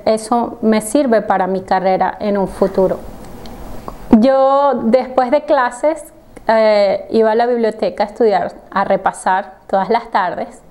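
Slow, soft-spoken Venezuelan woman. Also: fairly high pitch. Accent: Venezuelan Spanish. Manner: unhurried and hesitant, with many soft fillers. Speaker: doctor and former language student. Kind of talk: personal testimonial to camera. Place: indoor room with plants. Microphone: medium shot from a fixed camera.

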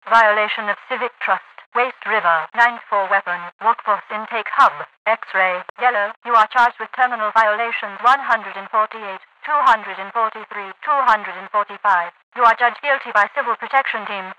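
A woman's voice: medium pitch, moderate pace, hardly any pauses, bright, forceful tone, no echo. A woman voicing an emotionless synthetic authority dispatcher in a video game, with clipped, detached radio announcements. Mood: cold, official. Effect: radio dispatch filter. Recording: band-limited dull radio transmission.

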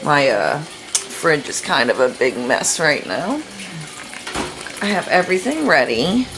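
A woman's voice: deep voice, very animated, measured pace. A woman in her thirties chatting casually while she cooks in her home kitchen, talking to herself as she works, recorded on a camera mic. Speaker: cooking vlogger. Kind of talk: casual cooking vlog narration. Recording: home kitchen with running water and noise. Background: kitchen sounds, running tap.

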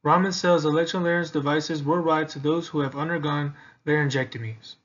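Man, muffled lo-fi recording, raised pitch, scripted student presentation. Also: steady tone, fairly quick, fairly bright tone. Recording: dull, lo-fi microphone.

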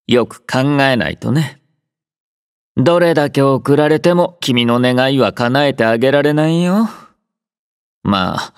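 An actor playing a laid-back young male army doctor in a game, speaking in a relaxed, drowsy, gently teasing way. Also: mid-range voice, no echo, clean studio sound. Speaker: game character voice lines. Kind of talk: game voice collection. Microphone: close studio mic.

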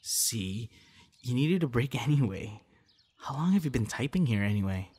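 A man playing a friendly, shy young budding voice actor chatting casually with a stranger in an ASMR roleplay, hesitant and softly teasing. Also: medium pitch, animated, normal speed, slightly rough. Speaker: audio roleplay performer. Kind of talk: ASMR roleplay, casual one-sided conversation.

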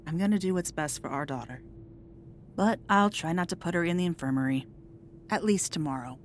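A woman's voice: brisk, close to an everyday voice. A woman playing a tough, uncompromising mother and field agent in an audio drama, firm and blunt. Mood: stern. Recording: faint low rumbling noise.

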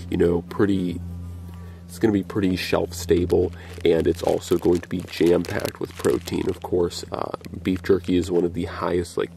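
Low, monotone young man, gravelly. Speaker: outdoor vlogger. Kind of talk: casual explanatory talk. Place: outdoors in woods. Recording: faint background noise outdoors.

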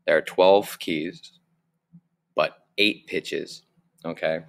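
Deep-voiced man teaching a music lesson. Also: fairly even intonation. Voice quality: low voice. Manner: slow, clear explaining. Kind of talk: recorded online lesson over slides.

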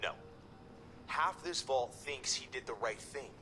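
An actor playing a bitter robot worker in a video game, with an emphatic, clipped, theatrical delivery. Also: male voice, mid-range voice, expressive, measured pace, close to an everyday voice. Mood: indignant. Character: bitter male robot worker demanding justice. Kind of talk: video game character acting. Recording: game audio with music and noise behind.

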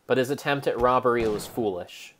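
A young man narrating gameplay like a dramatic storybook, in a theatrical, measured way with pauses. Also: medium pitch, fairly bright tone, slight background noise. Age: young adult. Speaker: game streamer.

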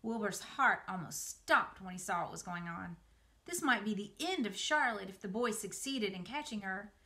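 A middle-aged woman reading a children's story aloud indoors, in an expressive, dramatized way. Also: medium pitch, normal speed. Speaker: museum educator reading aloud. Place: small room by a window, indoors.

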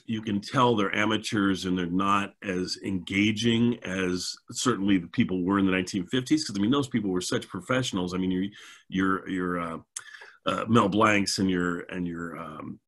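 A man's voice: low voice, fairly bright tone, slightly rough. A middle-aged man hosting a casual chat over a video call, enthusiastic and effusive. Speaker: show host. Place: indoors at home, video call.

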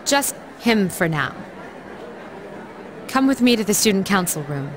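A woman voicing a composed, formal young student council leader in a game, speaking in a serious, measured way. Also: mid-range voice, very animated, a faint crowd, a little hiss or hum. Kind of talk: scripted game voice acting.

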